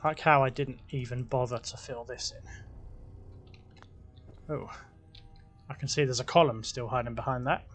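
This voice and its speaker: A man in a middle pitch talks through his gameplay in a relaxed, offhand way, half to himself. His voice is a little croaky. Keyboard clicks and typing sound behind him. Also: moderate pace, long pauses. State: slightly croaky voice. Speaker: gaming video host. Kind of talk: casual gameplay commentary.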